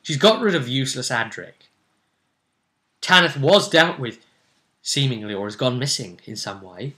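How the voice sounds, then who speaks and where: Bright-voiced man, casual game master. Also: medium pitch, animated, normal speed. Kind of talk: casual recap of a tabletop game story.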